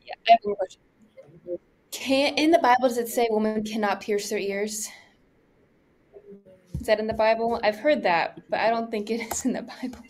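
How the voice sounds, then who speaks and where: Young female co-host, American, slightly high voice, informal and youthful, asking questions conversationally. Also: normal speed, pauses often, a little mellow, a little hiss or hum. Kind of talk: casual chat.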